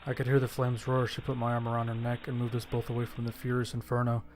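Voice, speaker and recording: A man's voice: medium pitch, completely flat delivery, fast, darkish tone, close to an everyday voice. A man playing an injured survivor who narrates his own story in scripted horror audio drama style. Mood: somber storytelling. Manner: scripted, descriptive storytelling with casual profanity.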